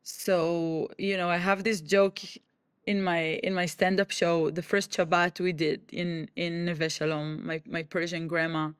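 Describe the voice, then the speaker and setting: A woman's voice: lowish voice, flat delivery, smooth, no room sound. Israeli comedian lady in an interview, stops and restarts a lot, dry close sound. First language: Hebrew. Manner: halting, many restarts, acts out quoted voices. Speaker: guest comedian. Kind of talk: conversational interview.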